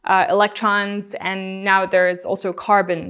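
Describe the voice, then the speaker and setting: A young adult woman, a guest doctor on a podcast, explaining her work at length in a relaxed, chatty way. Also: medium pitch, measured pace, clean tone. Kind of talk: casual podcast interview.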